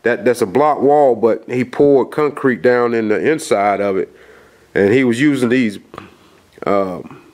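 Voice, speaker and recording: A man with a cold and a nasal voice, filming a folksy, rambling walkthrough of a dark house basement on a handheld camera, with lots of fillers and restarts. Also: medium pitch. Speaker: outdoors video host. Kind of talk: casual vlog walkthrough.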